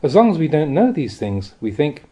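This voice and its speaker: A man reads Buddhist teachings as an audiobook. His voice is mellow and a little husky, with a mid pitch and lively rises and falls. The delivery is measured and explanatory. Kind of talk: audiobook reading of a spiritual talk.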